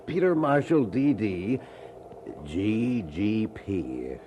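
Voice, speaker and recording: A man's voice: on the low side, huge pitch swings, extremely slow, exaggerated voice. A genial middle-aged father of the bride in an old radio play. His voice rises and falls freely, fatherly and teasing with an anxious edge. There is faint noise on the recording. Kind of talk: old-time radio drama acting.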